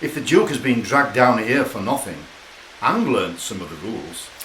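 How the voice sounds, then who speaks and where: A man's voice: lowish voice, very animated, moderate pace, fairly bright tone. An actor playing a fussy, solemn male royal aide in a radio play. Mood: stern warning. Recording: echoey with faint hiss.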